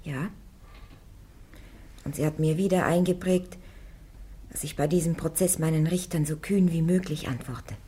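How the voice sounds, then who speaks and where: Woman acting young woman warrior on trial, radio play, defiant, firm calm answers, low voice, mellow. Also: moderate pace, pauses often, a little hiss or hum, lightly acted.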